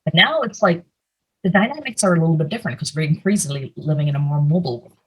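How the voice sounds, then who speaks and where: A woman's voice: low voice, animated, fast talker, slightly rough, low-quality recording. A young woman from India giving a conversational slide presentation over a video call, with plenty of fillers. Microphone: computer mic, video call.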